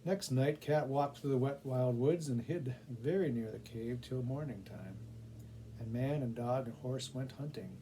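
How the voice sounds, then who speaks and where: Mellow-voiced man reading stories. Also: mid-range voice, unhurried, a little hiss or hum, poor audio. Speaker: volunteer story reader. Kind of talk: casual chat and storybook narration.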